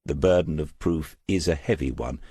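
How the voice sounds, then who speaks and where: A man voicing a shrewd retired police detective in an audiobook, wry, understated and deliberate. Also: extremely low pitch, mellow, slightly rough, no echo, studio quality. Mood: matter-of-fact.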